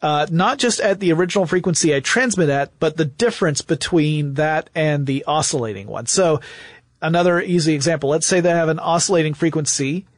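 A man's voice: fairly high pitch, fairly quick, no room sound. A man hosting a technology podcast, explaining technical detail conversationally and with enthusiasm into a close microphone. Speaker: podcast host. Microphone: close studio microphone.

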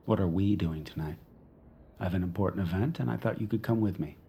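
A man's voice: low-pitched, quick pace, vocal fry, mellow, slight background noise. A man playing a controlling, possessive wealthy boyfriend in an audio drama, commanding and probing, with a teasing edge. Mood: casual, commanding. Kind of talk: naturalistic audio drama acting.

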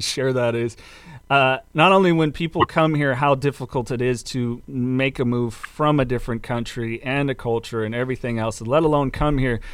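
Podcast host: American man, smooth clear mid-pitched voice, rambling conversational delivery, dry close sound. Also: dry recording. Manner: rambling, roundabout phrasing. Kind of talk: casual conversational interview.

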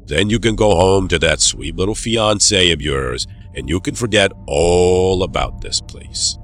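Very deep, menacing doctor. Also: male voice, soft background music. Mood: quietly threatening. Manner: stern, measured, quietly menacing. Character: cold, controlling male doctor giving orders. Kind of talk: audio drama acting.

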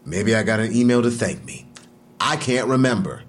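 A guarded street artist played by a man answers questions in an audio drama. His voice is a little low, and his delivery is natural, terse and defensive. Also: lively intonation, moderate pace, natural voice.

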